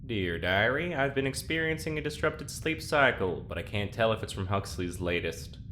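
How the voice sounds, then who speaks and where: An actor playing a weary, sardonic roommate in an audio drama, with a deadpan, sarcastic delivery. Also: male voice, fairly low pitch, normal speed. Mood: sardonic, irritated. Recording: noisy background.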